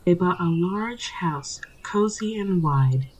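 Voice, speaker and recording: A woman's voice: on the low side, lively intonation, slow pace, a little mellow, husky, heavily acted, low-quality recording. Woman playing a prim, sing-song fairy-tale storyteller. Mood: storybook sing-song.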